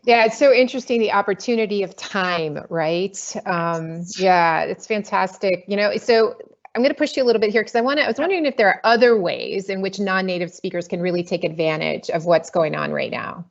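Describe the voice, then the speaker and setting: A woman moderating a webinar panel discussion, measured as she asks her questions. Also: medium pitch, creaky, lo-fi sound.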